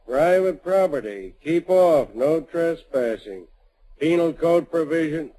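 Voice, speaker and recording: An actor playing an easygoing old-west prospector who narrates and speaks in a folksy drawl in an old-time radio western. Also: male voice, a little high, lively intonation, slow, mellow, low-quality recording. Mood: puzzled. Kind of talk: 1950s radio drama acting.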